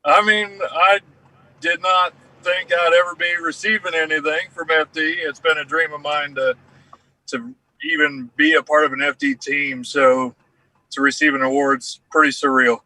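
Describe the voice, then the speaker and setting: A modest, easygoing man answers questions over a phone video call. His voice is bright and a little raised in pitch. The sound is dry and close. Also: flat delivery, normal speed, no room sound, low-quality recording. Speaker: racing crew member.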